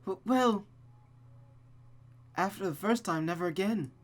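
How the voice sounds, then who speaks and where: A woman's voice: medium pitch, a little slow, pitched above the performer's own voice, lightly acted. A woman voicing an anxious young woman in a visual novel, earnest and hesitant as she confides. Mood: shy, hesitant. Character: Catherine, anxious young woman confiding about her fiancé.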